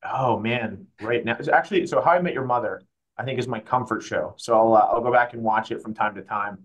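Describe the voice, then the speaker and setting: Interview guest, man in his thirties, quick and chatty, mid-pitched. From Mexico. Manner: fast, chatty, self-correcting. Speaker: guest, private client advisor. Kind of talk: conversational interview.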